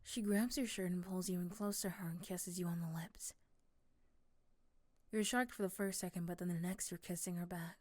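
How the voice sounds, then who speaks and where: A woman narrating a scripted ASMR romance in the second person, softly and often dropping to a whisper. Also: on the low side, dry recording.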